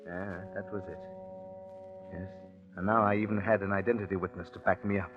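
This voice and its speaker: An actor playing a smooth-talking impostor and killer in a 1940s radio suspense drama, talking in a glib, confiding way. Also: male voice, low-pitched, fairly even intonation, fairly quick, close to an everyday voice. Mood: confiding, calculating. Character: smooth-talking impostor and cold-blooded murderer narrating his crime. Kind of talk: 1940s radio suspense acting, confessional narration. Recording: dull 1940s radio recording with music.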